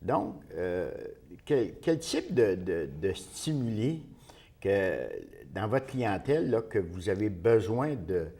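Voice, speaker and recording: A man with a Québécois French accent asking conversational interview questions, halting and rephrasing as he goes. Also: lowish voice, very animated, dark tone.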